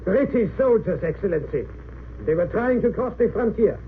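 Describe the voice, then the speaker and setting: A man playing a brisk German sergeant and spy in a 1930s radio drama, reporting crisply. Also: sits high, expressive. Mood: brisk, dutiful. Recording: tinny, noisy old radio recording.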